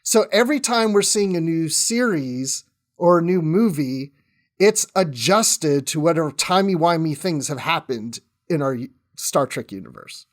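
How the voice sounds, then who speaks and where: A man's voice: on the high side, animated, a little slow. A man chatting as a guest in a casual fan podcast discussion, conversational with tentative asides.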